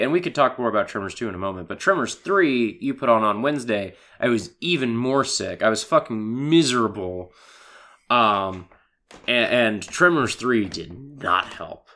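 A man with a hoarse voice from a cold, co-hosting a casual podcast chat and laughing as he talks. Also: medium pitch. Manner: animated, laughing, swearing. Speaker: podcast co-host.

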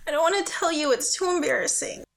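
High-pitched, dramatic schoolgirl. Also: quick pace, vocal fry, slight background noise. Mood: upset, embarrassed. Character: dramatic, sulky schoolgirl daughter, easily embarrassed. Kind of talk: audio drama acting.

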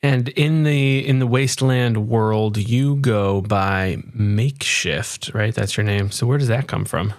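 A dry, close recording of a man with a fairly low voice. He interviews as a podcast host, putting his questions casually and conversationally. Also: measured pace, hardly any pauses, dry recording.

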